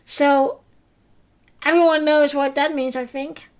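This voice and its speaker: Woman, high voice, hesitant, lots of fillers, podcast recap host. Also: fairly even intonation, slow pace. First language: Dutch. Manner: hesitant, many fillers and restarts; simple non-native phrasing. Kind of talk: casual episode recap.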